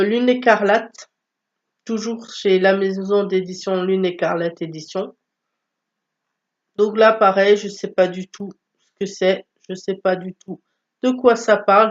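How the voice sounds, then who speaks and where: A woman's voice: mid-range voice, dull audio. A woman with a stuffy nose from a cold, chatting casually about her book haul with many hesitations. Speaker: book vlogger.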